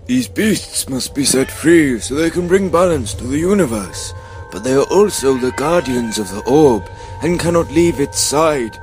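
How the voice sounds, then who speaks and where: A man plays a solemn wizard leading a comic radio-play quest. His mid-pitched voice swoops dramatically and pauses for grand effect. Music sits behind him. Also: expressive, a little mellow, lightly acted. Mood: portentous. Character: theatrical wizard guide, solemn, absurdly earnest.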